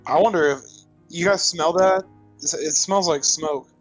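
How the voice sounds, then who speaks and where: A man's voice: a little high, a little slow, soft background music. A man playing a tabletop roleplaying game, chatting casually and playfully as he describes his character.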